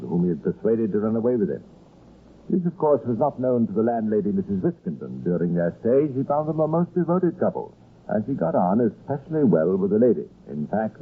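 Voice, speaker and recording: An actor with a British accent playing a wry, ominous narrator of a true-crime tale in an old radio drama, telling it theatrically. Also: male voice, fairly low pitch, breathy edge. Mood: wry, confiding. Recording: old radio recording, narrow band, noisy.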